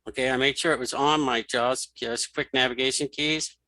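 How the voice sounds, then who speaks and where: Bright-voiced man giving a casual tutorial. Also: medium pitch, fairly even intonation, moderate pace, no echo. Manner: informal, step-by-step self-narration. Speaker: tutorial host. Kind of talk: casual spoken software tutorial.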